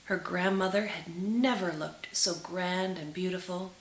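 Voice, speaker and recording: Slow, echoey woman reading a storybook. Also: lowish voice. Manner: dramatic, deliberate phrasing with drawn-out words. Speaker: storyteller reading aloud for children. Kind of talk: expressive storybook read-aloud. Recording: roomy, echoing sound.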